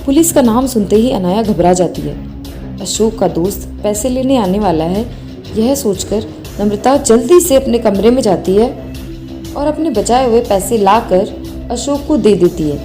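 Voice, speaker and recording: A woman's voice: medium pitch, expressive, normal speed, music in the background. A woman narrating a scripted bedtime moral story.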